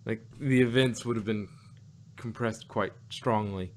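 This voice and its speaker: A man's voice: lowish voice, steady tone, a little slow. Laughing, rambling male podcast co-host. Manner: rambling, laughs often, restarts and hedges mid-thought. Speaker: podcast co-host reviewing an audio drama. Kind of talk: casual review chat.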